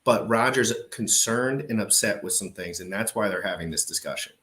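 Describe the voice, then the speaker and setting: A man with a fairly low voice talks casually to a live chat, stopping a clip to fill viewers in on what is going on. His delivery is loose and off-the-cuff, with frequent restarts. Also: normal speed, a bit bright. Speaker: show host reacting to an old debate clip.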